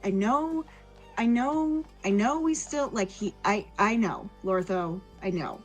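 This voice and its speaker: A woman's voice: mid-range voice, unhurried, soft background music, natural voice. A woman voicing an earnest, bossy but kindly female adventurer in a tabletop game, talking in an emphatic ramble. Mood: exasperated.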